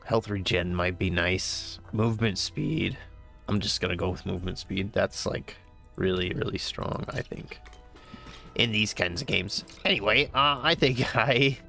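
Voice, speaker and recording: A man's voice: fairly low pitch, gravelly, fairly bright tone. A man with a stuffy, congested voice, casually thinking aloud as he plays a game for the first time. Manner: thinking aloud, easygoing, upbeat exclamations. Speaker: gamer playing a new game. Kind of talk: casual let's-play commentary. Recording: game music behind.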